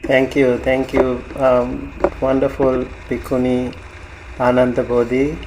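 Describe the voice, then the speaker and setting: Slow, soft-spoken monk teacher. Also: male voice, mid-range voice, little pitch movement, noisy background. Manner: slow, soft-spoken, with frequent ums and light laughs. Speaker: Buddhist monk teacher hosting a class. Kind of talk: welcoming students on a video call. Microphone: video call.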